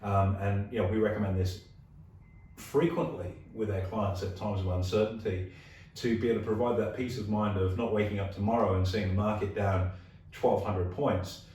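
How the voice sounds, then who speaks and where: Hoarse Australian man hosting a podcast. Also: lowish voice, fairly even intonation, normal speed, warm tone, heavy reverb, distant mic, rough recording. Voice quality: gravelly. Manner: explains with everyday analogies, frequent restarts. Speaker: podcast host. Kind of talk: casual investing podcast chat.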